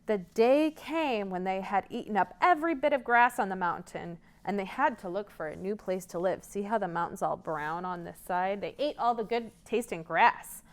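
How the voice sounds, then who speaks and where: A woman's voice: medium pitch, normal speed, few pauses. A woman hosting a children's storytime, telling stories interactively and coaching young listeners along with questions. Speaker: children's librarian, storytime host.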